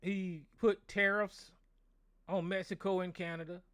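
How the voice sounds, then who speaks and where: A hoarse American man, a show host, ranting angrily and profanely, repeating himself and stumbling over words. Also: high-pitched, little pitch movement, a little slow, a bit bright, no echo, studio quality. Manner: angry, profane, repetitive. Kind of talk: political rant on a call-in show.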